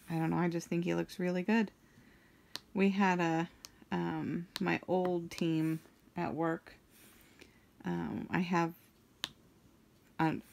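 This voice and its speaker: Young woman, deep monotone voice with some gravel, unhurried rambling craft-vlog chatter. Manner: slow, meandering, many ums and trailing thoughts. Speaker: craft vlogger. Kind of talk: rambling chat while crafting.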